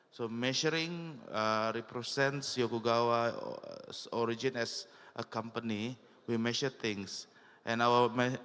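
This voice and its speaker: A man speaks at a conference in a smooth, resonant voice, measured and pausing often. His words trail into the long reverb of a large hall. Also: medium pitch, a bit bright. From Indonesia. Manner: measured, with frequent "uh" pauses. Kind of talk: corporate conference talk.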